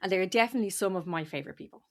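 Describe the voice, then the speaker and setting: A woman's voice: medium pitch, rapid-fire. A woman hosting a podcast interview in an encouraging way. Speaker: podcast host. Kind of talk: interview chat.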